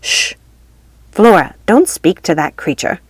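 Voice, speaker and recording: A woman voicing a snooty young female fairy student in a hushed, scornful manner. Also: on the low side, very animated, a little slow, slightly rough, dry recording, heavily acted.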